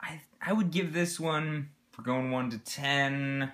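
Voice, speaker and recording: A man's voice: medium pitch, slow, a bit bright. A young man reviewing a beer in casual chat, laid-back and swearing now and then, recorded by a camera on the table in a home living room. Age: young adult.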